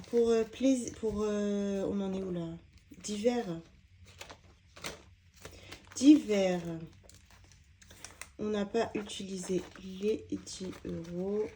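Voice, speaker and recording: A woman's voice: mid-range voice, mellow, slight background noise. A woman, slightly stuffy from a cold, chatting casually while sorting her budget envelopes, with lots of hesitant fillers. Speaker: budgeting vlogger.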